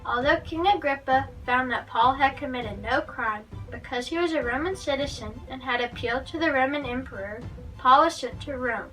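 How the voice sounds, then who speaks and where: High, bright-voiced woman reading a story. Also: moderate pace. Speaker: guest story reader for children's Bible lesson. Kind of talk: read-aloud Bible story narration. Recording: distant microphone, music underneath.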